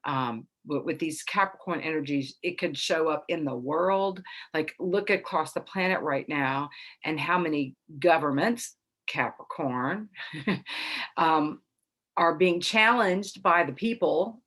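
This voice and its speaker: Low-voiced congested woman astrology host. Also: takes it slowly. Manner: chatty, relaxed, frequent soft laughs. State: slightly congested after illness. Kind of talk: casual astrology talk to camera.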